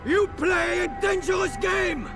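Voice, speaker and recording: A menacing Templar knight commander in a game. He bellows threats in a very high, strained register with heavy gravel, over clear background music. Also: a little slow, full character voice. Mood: furious, menacing. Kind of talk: scripted video game dialogue.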